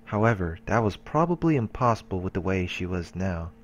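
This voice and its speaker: A man's voice: low-pitched, very animated, a little fast, slight background noise. A man hosting a let's play, reading game narration aloud with occasional casual asides.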